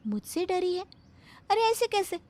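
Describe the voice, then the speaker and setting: Woman doing a shrill sweet young daughter-in-law. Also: big pitch swings, measured pace, a little mellow, no echo, heavily acted. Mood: eager. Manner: sweet, literal-minded, high and singsong. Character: eager overly obedient young daughter-in-law.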